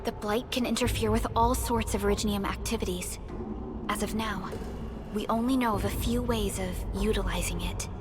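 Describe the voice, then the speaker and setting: Game role: level-headed female commander, acted by a woman, medium pitch, formal and analytical, music behind. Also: fairly even intonation, moderate pace, lightly acted. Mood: curious, composed. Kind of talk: video game voice acting.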